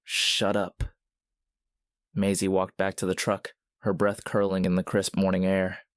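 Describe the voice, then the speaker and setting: A man narrating a scripted story in a measured, literary manner. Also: sits low, monotone, darkish tone, clean tone, no room sound, clean studio sound.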